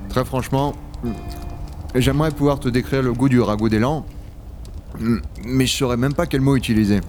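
A man's voice: medium pitch, steady tone, normal speed, a little mellow, faint music behind, natural voice. A man playing a rugged, wry dragon hunter of a frozen future in a science-fiction audio drama. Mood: wry, fond. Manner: gravely reflective narration, familiar offhand banter. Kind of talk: French audio-fiction acting and first-person narration.

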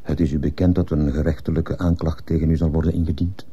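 A stern, dutiful headmaster in a radio play, with a very low, dark voice. He speaks formally and officiously, with a husky edge to his cold reproofs. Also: level tone, quick pace, muffled recording. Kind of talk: Dutch-language radio drama acting.

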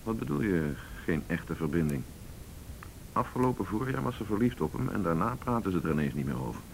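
A man's voice: deep voice, very animated, a little fast, gravelly, slight background noise, heavily acted, low-quality recording. An actor playing a determined senior male police detective with a Netherlands Dutch accent, reasoning insistently in a radio drama. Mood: speculating. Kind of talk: naturalistic radio drama dialogue.

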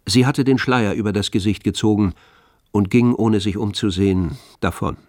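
A man's voice: fairly low pitch, normal speed, darkish tone, dry recording, studio quality. A man reading scripted literary narration as the narrator of a radio play. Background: music, with violin.

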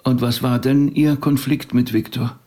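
Guy acting as a curious tactful rabbi in a radio play, medium pitch, polite but probing. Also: level tone, measured pace, dark tone, lightly acted. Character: inquisitive, tactful visiting rabbi. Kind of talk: German audio drama dialogue.

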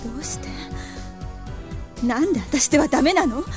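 A proud succubus in an audio drama. She speaks high and intensely, haughty and jealous, over prominent music. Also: expressive, normal speed. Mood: bitter, hurt. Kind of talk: anime-style audio drama acting.

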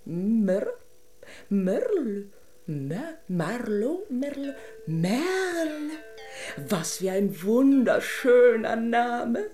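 Brief: haughty toy princess, theatrical and high-pitched, children's radio play, echoing room with music behind. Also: female voice, big pitch swings, drawn-out words, warm tone, full character voice. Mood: grand, admiring. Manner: imperious, grandiose, easily indignant. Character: haughty, theatrical princess doll come to life. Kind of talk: children's radio drama acting.

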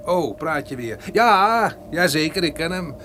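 A man's voice: a little high, lively intonation, unhurried. An actor playing a talkative, sly, nervous soldier who boasts and stumbles over his words in a gothic radio play. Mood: chatty, boastful. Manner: chatty, boastful, stammering over slips. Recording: noisy old radio recording.

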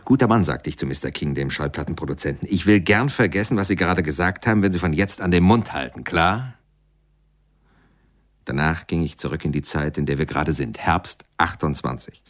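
Very deep, hard-boiled male narrator. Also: fairly quick. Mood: dry, commanding. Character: laconic, hard-boiled male narrator of a jazz-age tale. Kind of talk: radio play narration.

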